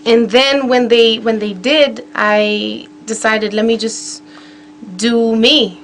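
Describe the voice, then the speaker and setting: A woman from Kenya, a singer-songwriter, talking casually in an interview, relaxed and laughing easily. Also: a little high, slight background noise. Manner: relaxed, laughs often, drawn-out phrasing.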